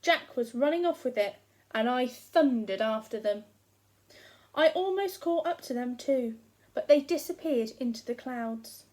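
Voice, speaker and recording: A woman's voice: fairly high pitch, expressive, a little slow, a little mellow. A woman voicing a huge, hungry, self-pitying giant who complains with sarcastic emphasis as he tells his own storybook tale. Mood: rueful. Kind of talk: first-person storybook narration read aloud.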